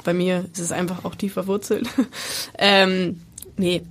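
A woman's voice: on the low side, flat delivery, unhurried. Hesitant young German woman footballer. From Bremen, Germany. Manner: hesitant, many fillers, laughs often. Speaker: women's football player, guest. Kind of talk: casual interview answers.

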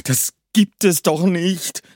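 A man voicing a panicked teenage boy detective who shouts frantically. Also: sits high, takes it slowly, dry recording, studio quality, voice pushed up.

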